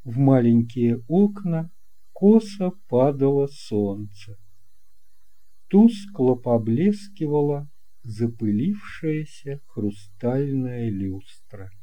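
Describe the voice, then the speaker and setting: A woman's voice: rumbling low, lively intonation, slow pace, warm tone, rough recording. A woman reading a children's story as scripted narration.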